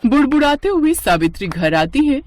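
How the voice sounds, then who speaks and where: A woman narrating a scripted moral story in an expressive storytelling style. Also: sits high, moderate pace.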